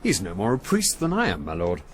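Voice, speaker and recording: Animated, deferential army officer. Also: male voice, low voice, brisk, a little hiss or hum. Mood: brisk, reporting. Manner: crisp, factual, deferential. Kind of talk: radio drama acting.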